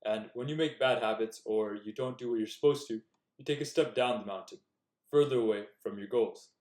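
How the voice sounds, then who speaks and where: A man's voice: medium pitch, fairly quick, a little mellow. Earnest young man, echoey room. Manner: earnest, direct, coaching tone. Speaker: self-improvement YouTuber. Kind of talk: casual motivational talk to camera.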